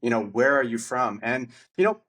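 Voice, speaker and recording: A man's voice: medium pitch, animated, moderate pace, a bit bright. A middle-aged man hosting a podcast, friendly and chummy, laughing often. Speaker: podcast host. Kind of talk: casual interview talk. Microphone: podcast mic.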